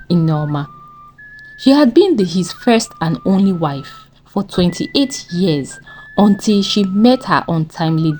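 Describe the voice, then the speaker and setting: Lively Nigerian woman telling a folktale. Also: fairly low pitch, a little hiss or hum, poor audio. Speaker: storyteller. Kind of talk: scripted folktale narration.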